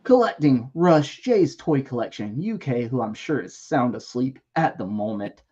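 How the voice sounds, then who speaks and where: A man hosts a weekly toy livestream, thanking members by name and joking with his co-hosts. His voice is husky and going a little hoarse, with a lively, swinging pitch. The stream audio sounds dull and lo-fi. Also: normal speed. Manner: lively, jokey shout-outs to members. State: losing his voice. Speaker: livestream host. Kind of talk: casual livestream chat.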